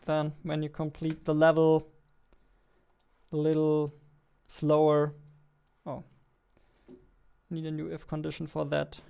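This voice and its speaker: Young man, casual coding devlog, slightly high voice, unhurried, clean tone, close studio mic. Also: monotone, vocal fry, warm tone, smooth. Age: 20s. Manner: halting while typing, reads text aloud slowly. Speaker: indie game developer. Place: indoors, at a computer desk. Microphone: studio mic on boom arm, close.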